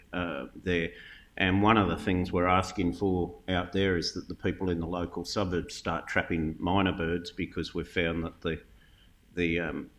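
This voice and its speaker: An Australian man introducing the next speaker with relaxed, wry remarks and frequent fillers. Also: low-pitched.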